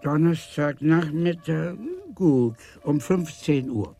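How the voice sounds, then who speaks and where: Slow, flustered male lawyer. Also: on the high side, creaky, dark tone, faint music behind. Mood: agreeable. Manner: hesitant, stammering, repeats himself. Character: flustered, absent-minded, courteous male notary. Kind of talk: German radio play acting.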